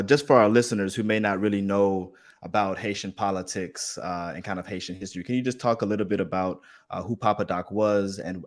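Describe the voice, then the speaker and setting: A male podcast host asking his guests a question, pausing on fillers as he goes. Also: fairly low pitch. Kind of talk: interviewing.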